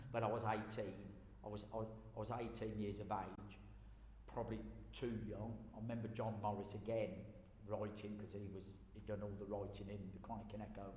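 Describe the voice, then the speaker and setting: An echoey hall surrounds a middle-aged man. His voice sits fairly low, and he chats in a rambling, repetitive way, looking back on old times. A little noise runs behind him. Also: little pitch movement, fairly quick, darkish tone. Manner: rambling, repeats phrases as he goes. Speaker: interviewee, former pro boxer and amateur coach. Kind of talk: casual reminiscing chat.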